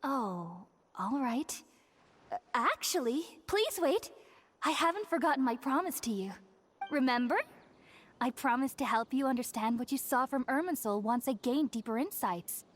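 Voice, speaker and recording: A woman's voice: high voice, animated, normal speed. An actress playing a devout young female scholar in a video game, effusive and reverent in manner. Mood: eager.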